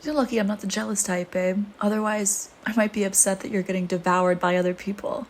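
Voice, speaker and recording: A woman's voice: medium pitch, steady tone, fairly quick, close to an everyday voice. A woman playing a flirty, teasing vampire in an intimate audio roleplay, with a sardonic, casual manner. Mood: musing, playful. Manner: sardonic, teasing, casual murmuring with sighs and whispered asides.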